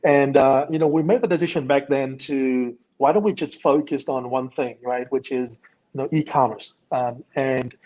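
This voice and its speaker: Middle-aged man from Vietnam, agency founder, halting interview answers, muffled lo-fi mic. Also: medium pitch, steady tone, a little mellow. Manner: hesitant, many ums and you-knows. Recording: dull lo-fi sound.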